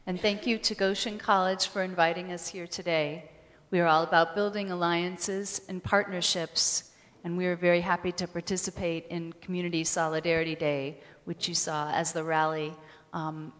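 A woman's voice: lowish voice, flat delivery, normal speed, fairly bright tone. A woman giving a public speech to an audience as a professor. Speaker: history professor and center director. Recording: long hall reverb.

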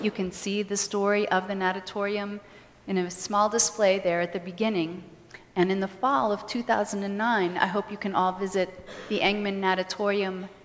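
A woman lecturer speaking informally to a public gathering, explaining and telling history like a story. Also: medium pitch. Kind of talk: informal public talk answering audience questions. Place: large reverberant hall, public convocation. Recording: hall with long reverb.

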